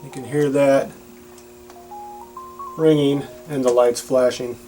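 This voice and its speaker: A man's voice: mid-range voice, flat delivery, slow, warm tone, soft background music. A man giving a casual, plain-spoken and explanatory product demonstration.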